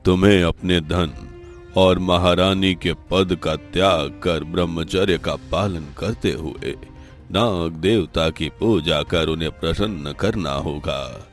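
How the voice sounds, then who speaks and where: A deep-voiced, stern holy man of divine powers acted by a man in a cartoon tale. He delivers solemn judgement and instruction over quiet background music. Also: slow pace. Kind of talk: Hindi cartoon story dialogue.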